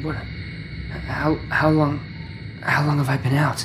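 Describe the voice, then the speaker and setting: A man playing a bewildered, frightened teenage boy in a sci-fi audio drama, stammering and breathy as he talks to himself. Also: a little high, level tone, very noisy, close to an everyday voice. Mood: anxious, murmuring. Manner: halting, stammering, breathy gasps. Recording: loud music behind.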